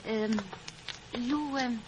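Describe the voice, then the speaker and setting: An actress playing an eager, lovestruck young comrade in an old-time radio spy drama, hesitant and earnest. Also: female voice, a little high, drawn-out words, noisy background. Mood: shyly adoring.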